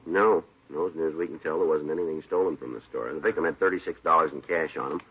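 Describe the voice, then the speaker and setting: An actor playing a terse, businesslike police detective in a 1950s radio drama, clipped and deadpan as he questions and narrates. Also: a bass voice, fast, slightly rough. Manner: clipped, deadpan, matter-of-fact. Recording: dull old-time radio recording.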